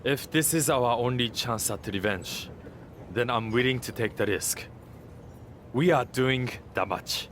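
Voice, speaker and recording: A man's voice: medium pitch, a little slow, fairly bright tone, slight background noise, lightly acted. A man playing a proud, confident masked luchador with a blunt, assured manner in a scripted video game cutscene. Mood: resolute.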